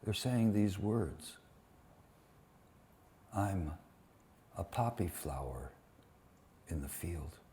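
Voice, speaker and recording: Slow, deliberate man reading poetry. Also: sits low, expressive, background noise. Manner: slow, deliberate delivery in short phrases with weighted pauses. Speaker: poet reading translated war poems at a poetry event. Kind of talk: dramatic live poetry reading.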